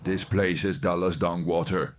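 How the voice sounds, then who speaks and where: A man voicing a gruff, contemptuous male thug soldier who sneers and grumbles. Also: low-pitched, fairly even intonation, moderate pace, pitched below the performer's own voice, lightly acted. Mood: scornful. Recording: dull lo-fi recording.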